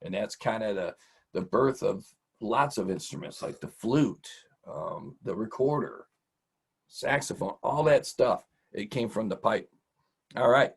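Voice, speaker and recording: A man's voice: on the low side, animated, measured pace, gravelly, slightly rough. A middle-aged man hosting an informal, encouraging vocabulary lesson for children in a folksy, casual way. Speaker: host, teacher.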